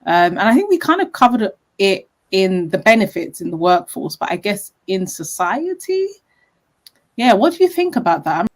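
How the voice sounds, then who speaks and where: A woman's voice: mid-range voice, very animated, moderate pace. A woman hosting a casual podcast conversation, enthusiastic and chatty, with many fillers. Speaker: podcast host.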